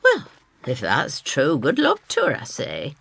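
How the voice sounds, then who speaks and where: Nosy stubborn northern english older woman telling her tale, husky voice, really swoops about, radio play. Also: deep voice, huge pitch swings, a little slow, fairly bright tone, exaggerated voice. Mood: wry, confiding. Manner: chatty, gossipy, blunt Northern phrasing. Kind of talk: first-person audio drama storytelling.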